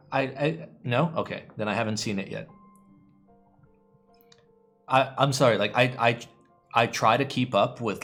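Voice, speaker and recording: A man in young adulthood, casually chatting with his live-stream viewers, rambling and swearing freely with playful groans. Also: on the low side. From United States. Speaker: keyboard streamer.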